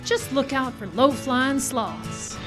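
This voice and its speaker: Woman, high voice, cheery comic commercial read, sales-pitch delivery, music behind. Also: expressive, takes it slowly. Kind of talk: comic radio advertisement read.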